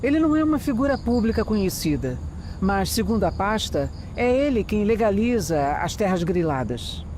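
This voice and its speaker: A woman's voice: medium pitch, sing-song, moderate pace, darkish tone, natural voice. Woman acting as smart composed lady detective, audio drama, sure of herself, crickets and noise behind. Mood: wary, assured. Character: sharp, composed female amateur detective, deductive and wary.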